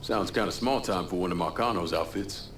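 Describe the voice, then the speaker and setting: Game lead: tough American criminal boss, male, deep register, low-key, clear noise behind. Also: a little fast, a bit bright. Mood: cool, wry. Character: tough, terse vengeful criminal leader. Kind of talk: video game cutscene acting.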